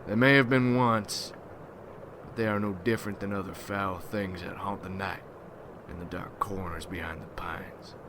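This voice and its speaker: A man playing a gruff, ruthless, wary vampire hunter in an ASMR roleplay, with a folksy, brooding, rough-spoken manner. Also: deep voice, steady tone, normal speed, natural voice. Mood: grim. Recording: noisy ambience behind the voice.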